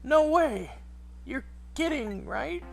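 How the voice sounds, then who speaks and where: A woman voicing a brash, excitable, defensive female detective in a mystery game. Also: sits high, slow, gravelly, soft background music, exaggerated voice. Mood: defensive, upset. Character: brash, headstrong young female detective, highly strung.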